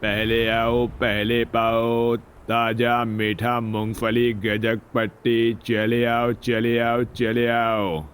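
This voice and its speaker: Man acting as gruff street hawker, drawn-out calls, repetitive, very slow, mid-pitched, moral-story character. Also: monotone. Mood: hawking loudly. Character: gruff street peanut vendor hawking his wares.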